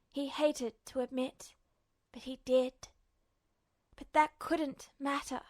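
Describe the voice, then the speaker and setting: A woman reading fiction aloud as a dramatic, scripted audiobook narration. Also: high voice. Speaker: fan fiction podfic reader.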